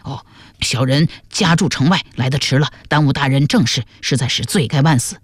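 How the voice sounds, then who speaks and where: A humble male coroner from a historical audiobook, put on by a female narrator. He sounds low-voiced and flustered. His manner is obsequious and apologetic toward his superiors, in a dry recording. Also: moderate pace, breathy edge, no room sound. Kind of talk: audiobook character voice.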